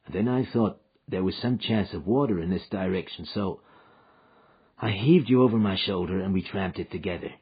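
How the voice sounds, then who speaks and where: A tired frontier man murmurs in a low, husky drawl, soft and patient with the little one beside him, as a male reader plays him. The voice sits deep and rough, and the recording is slightly dull and lo-fi. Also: moderate pace. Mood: gentle. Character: weary frontier man, gentle with the child.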